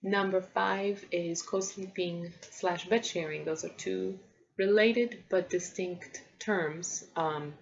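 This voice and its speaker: A woman in her thirties whose first language is Polish, talking casually to camera about parenting with many pauses and ums. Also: fairly low pitch, fairly even intonation, vocal fry, lo-fi sound. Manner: thoughtful, many ums and restarts. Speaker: parenting vlogger. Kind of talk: casual vlog talk to camera.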